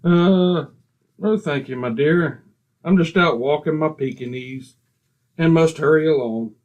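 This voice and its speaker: A man's voice: a little high, measured pace, darkish tone, dull audio, natural voice. A man doing a prim, genteel old neighbor. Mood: polite. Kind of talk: 1940s radio comedy acting.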